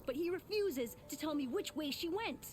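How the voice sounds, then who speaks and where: A woman's voice: high voice, expressive, moderate pace, darkish tone, slight background noise. An actress playing a worried, guilt-ridden female tinkerer in a video game, speaking in an earnest, wry, conversational way. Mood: anxious, pleading. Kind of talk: video game character acting. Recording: faint music behind the voice.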